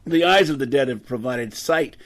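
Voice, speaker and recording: A man performs a disgraced brain surgeon with a mid-pitched voice, bitter and soaked in drink. He lectures in the manner of old radio drama, stumbling here and there over his words. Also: normal speed, lightly acted. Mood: lecturing. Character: embittered, drunken disgraced brain surgeon and professor.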